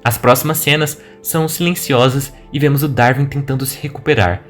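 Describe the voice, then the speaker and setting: A man with a Brazilian accent, reading a scripted retelling of an animated horror story as a video narrator. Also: mid-range voice, a little fast. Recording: music behind the voice. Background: background music.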